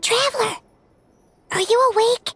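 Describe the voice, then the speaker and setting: Squeaky tiny childlike fairy companion. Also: female voice, a little slow, a bit bright. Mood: restless, chatty. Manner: perky, cutesy, speaks of self in third person. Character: tiny childlike floating fairy companion, cheerful, talks in third person. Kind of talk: anime-style video game acting. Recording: music behind the voice.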